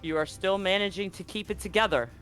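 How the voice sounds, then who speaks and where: A man's voice: sits high, a little fast, fairly bright tone, soft background music. A man working as game master, switching between dramatic scene-setting and casual rulings in a tabletop roleplaying game. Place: live online stream.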